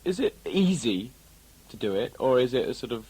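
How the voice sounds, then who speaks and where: A man's voice: medium pitch, very animated, normal speed, darkish tone, slightly rough. A man interviewing casually, with a teasing, bantering manner.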